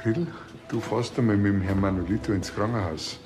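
A man's voice: on the low side, fairly quick, over music, lightly acted. An actor playing a casual, bossy village policeman with a Bavarian accent. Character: blunt, informal rural Bavarian policeman.

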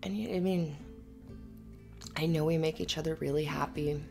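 A low female voice over faint music , a woman acting the part: an affectionate live-in girlfriend in an intimate ASMR roleplay. She is earnest and a little anxious, talking in a conversational, hesitant ramble. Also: fairly even intonation, normal speed, vocal fry, a little mellow. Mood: tentative, fond. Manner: rambling, hesitant, giggly asides. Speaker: audio roleplay performer.

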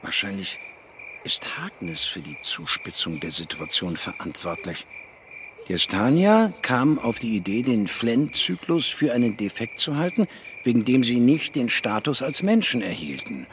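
An actor playing a weary male biologist narrating a science fiction radio play in a measured, matter-of-fact way. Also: medium pitch, sing-song, a bit bright, slight background noise. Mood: subdued, reflective. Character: male biologist Ian, weary first-person narrator of alien expedition.

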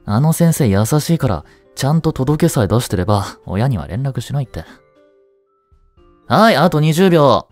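A man acting a cheeky, laid-back high-school slacker in a romantic audio drama, with casual, teasing, blunt slang. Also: very animated, normal speed, darkish tone, no room sound, soft background music, natural voice. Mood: teasing, pushy.

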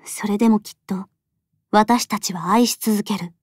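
Slow, solemn fox goddess. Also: female voice, medium pitch, steady tone, husky, dry recording, clean studio sound. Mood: sorrowful, tender. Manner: measured, solemn, deliberate. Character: solemn female fox deity, resolute, sorrowful guardian. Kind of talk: Japanese voice drama acting.

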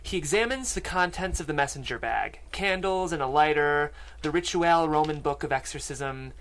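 A man's voice: fairly high pitch, animated, moderate pace, a bit bright, poor audio. A man reading stage directions as narrator at a scripted table read, on a close studio microphone. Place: small podcast studio.

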